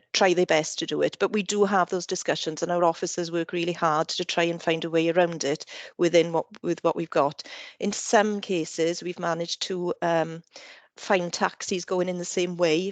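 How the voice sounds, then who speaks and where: A woman council officer speaks in a lowish voice, answering questions at a committee meeting. She hesitates often and restarts her sentences. The sound is dry and close. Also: no room sound. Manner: hesitant, many restarts and fillers.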